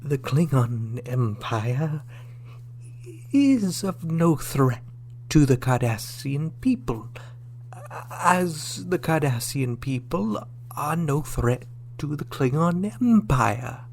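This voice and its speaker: A man playing a measured, placating reptilian alien diplomat with a formal, halting way of talking, in a sci-fi radio drama. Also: fairly high pitch, lively intonation, creaky, a little mellow, slight background noise, heavily acted. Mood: calm denial.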